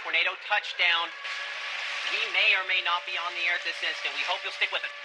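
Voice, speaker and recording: Male broadcast storm reporter, urgent, higher-pitched, very noisy clip. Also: steady tone, brisk. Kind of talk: urgent live storm coverage.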